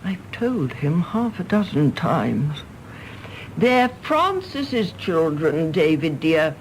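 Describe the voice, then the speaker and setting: A woman's voice: deep voice, sing-song, slow pace, slight background noise, exaggerated voice, rough recording. An actress playing an outspoken elderly English mother, emphatic and genteel, affectionate but exasperated, in British radio drama style. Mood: patient, firm. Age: older.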